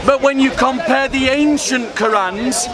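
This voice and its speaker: A man's voice: very high-pitched, a little slow. A man debating in public, pressing his point and repeating pointed questions. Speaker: street debater. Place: outdoor public speaking spot. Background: crowd of onlookers.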